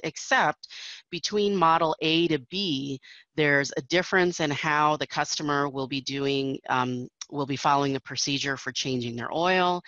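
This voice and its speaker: A woman's voice: deep voice, a bit bright, dry recording, dull audio. A woman presenting a technical webinar over slides, explaining steadily with frequent fillers.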